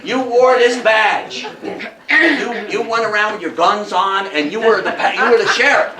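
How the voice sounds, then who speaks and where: An older man gives an informal talk to a group in an echoey room, picked up at some distance from the mic. He tells it like an animated storyteller, repeating phrases for emphasis. His voice sits a little high for a man, with some noise behind it. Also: measured pace, a crowd in the background. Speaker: lecturer at a historical society talk. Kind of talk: informal lecture to an audience. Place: indoor talk room with audience.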